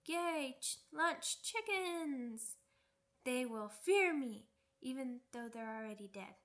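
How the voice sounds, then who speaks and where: A little werewolf cub girl for an ASMR audio drama. The voice is very high and unhurried, with a childish, pouty, rambling manner that is cheeky and earnest. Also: expressive, fairly bright tone, heavily acted. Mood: eager, excited.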